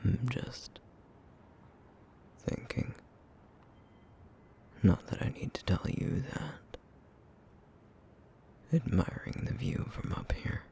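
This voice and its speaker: A man voicing a brooding, guilt-ridden, vampire-like essence eater in an ASMR roleplay drama, terse and bitter, with a breathy, gravelly near-whisper. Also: mid-range voice, animated, takes it slowly, long pauses, dark tone. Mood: brooding, guarded menace.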